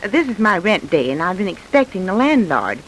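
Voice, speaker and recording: A woman playing a chatty, hospitable American housewife in a vintage radio play. Her speech rises and falls freely at a middling pitch, with a faint hiss over the old recording. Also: very animated, brisk, natural voice, low-quality recording. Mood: friendly, hospitable. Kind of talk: old-time radio drama acting.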